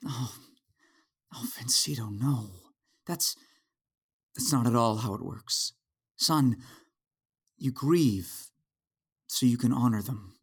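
An actor playing a gentle, patient fatherly man in an audio drama, soothing as he talks. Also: medium pitch, takes it slowly, long pauses, slightly rough, full character voice. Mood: gentle, consoling.

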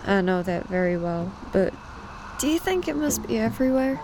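A woman's voice: mid-range voice, very animated, moderate pace, heavy background noise. A woman acting as an earnest, reflective soul searching in the wilderness, in a folk horror audio drama.

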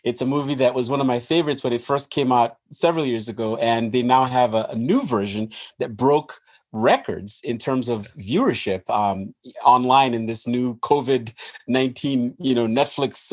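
A man from Nigeria, a podcast guest, explaining ideas in an animated way and laughing as he talks. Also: mid-range voice. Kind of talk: casual interview talk.

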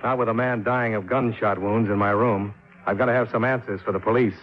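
An actor playing a tough, level-headed insurance investigator in a 1950s radio drama, dry and matter-of-fact. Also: male voice, fairly low pitch, steady tone, brisk, a little hiss or hum, lightly acted. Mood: firm, questioning. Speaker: lead actor and narrator of a radio detective series. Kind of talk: 1950s radio drama acting, clipped first-person narration. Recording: dull 1950s radio recording, music behind.

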